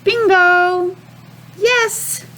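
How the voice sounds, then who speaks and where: An American woman teaching young children a simple lesson in a sing-song, questioning way with pauses. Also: very high-pitched, steady tone, pushed and bright, a little hiss or hum, poor audio. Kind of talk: children's calendar and weather lesson.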